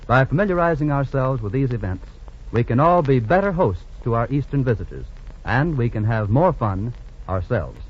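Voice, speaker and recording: A man working as a radio announcer, reading a script in a formal, measured 1940s broadcast style. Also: medium pitch, expressive, dull audio.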